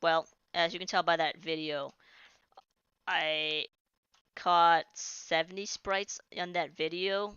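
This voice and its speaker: A woman's voice: fairly low pitch, fairly even intonation, takes it slowly, a bit bright, clear, resonant tone, no echo. A woman chatting casually during a live game stream, rambling and restarting sentences, her voice starting to tire. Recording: dull lo-fi stream audio.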